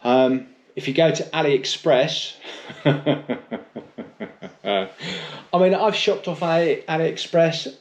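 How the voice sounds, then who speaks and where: A man's voice: on the high side, slow, long pauses. A middle-aged British man giving a casual, unscripted product review in a blunt, chatty, rambling way with mild swearing. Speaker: motorcycle vlogger reviewing gear.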